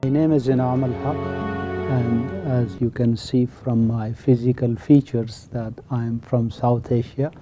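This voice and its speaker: An older man with a Pakistani accent, a professor explaining his views in an interview in an emphatic, deliberate manner. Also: mid-range voice, steady tone, music in the background. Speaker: professor of Islamic studies.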